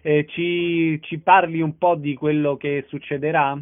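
A man's voice: a little high, level tone, a little slow. A man hosting a casual radio interview, asking questions in a conversational way. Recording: dull band-limited radio phone-in audio.

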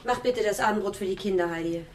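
A woman's voice: mid-range voice, fast, a little hiss or hum, close to an everyday voice. An actress playing a wary, commanding young woman in mourning in a German radio play, secretive and often near whispering. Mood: distrustful.

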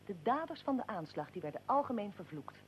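A medium-pitched female voice from an actress plays an inquisitive interviewer in a radio drama. She stays composed, courteous and formal while pressing her questions. Faint noise sits under the recording. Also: very animated, a little fast, close to an everyday voice. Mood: informing.

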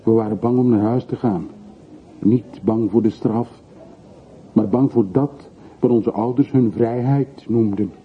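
A man's voice: medium pitch, fairly even intonation, unhurried, lightly acted. A man acting in a radio drama as a wistful, commanding man looking back on his hometown, reflective and formal. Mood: reminiscing. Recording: muffled phone-like old radio recording, faint noise.

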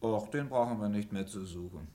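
An actor playing a brisk, commanding police inspector in a German radio crime play, curt and sardonic. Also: male voice, fairly low pitch, dark tone, lightly acted. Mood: surprised, resigned.

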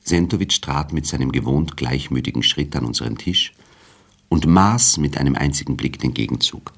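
Male radio drama narrator, extremely low pitch, husky, formal, measured literary storytelling. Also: fairly bright tone.

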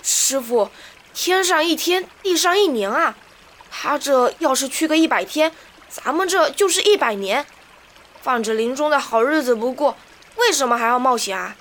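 A woman's voice: high voice, expressive, moderate pace, a bit bright, slight background noise. An actress playing an anxious, loyal young female disciple in a costume fantasy drama, pleading and fretful. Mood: worried pleading.

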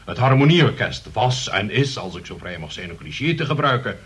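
An actor in a Dutch radio play playing a pompous, long-winded middle-aged uncle who moralizes about politics. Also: male voice, fairly low pitch, sing-song, normal speed, a bit bright, husky, exaggerated voice. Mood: pompous, indignant.

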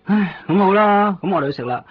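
A man's voice: sits high, animated, a little fast. A man acting a sociable, casual office worker in a conversational radio drama. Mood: casual concern. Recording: dull 1990s radio recording, crowd behind.

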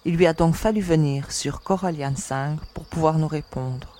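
Woman acting a composed space-crew leader, mellow, noisy recording. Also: low-pitched, normal speed, close to an everyday voice. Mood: assured, explanatory. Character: composed young female alien agent leading space castaways. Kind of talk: audio drama dialogue.

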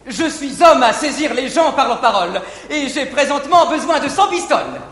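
An actor playing an earnest, lovestruck young gallant in a 1950s verse stage comedy. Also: male voice, very high voice, animated, normal speed, a bit bright. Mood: eager. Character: young lovestruck gallant man, eager and open. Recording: old theater recording, echoey hall, faint hiss.

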